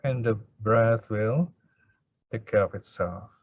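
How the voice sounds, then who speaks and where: A man speaking as a Buddhist meditation teacher, in gentle, unhurried devotional chanting or guided instruction with pauses. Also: lowish voice, no room sound. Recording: dull lo-fi recording.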